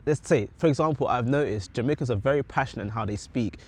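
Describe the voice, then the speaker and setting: A man's voice: medium pitch, quick pace, a little mellow, breathy edge. Young British man, reflective vlogger. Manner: reflective, rambling, self-correcting. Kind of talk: casual talking-head vlog.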